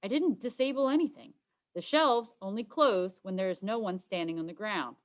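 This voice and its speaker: A woman's voice: mid-range voice, normal speed, natural voice. A woman voicing a sarcastic, secretive young schoolgirl in a children's storybook, with a curt manner. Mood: explaining, firm. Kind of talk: children's storybook read-aloud.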